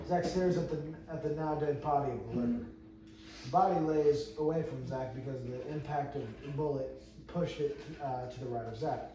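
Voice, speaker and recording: A man reading stage directions aloud at an amateur table read, plainly and with some stumbles over phrases. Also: medium pitch. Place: indoor room with a few other readers. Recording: echoey room, distant mic, noisy.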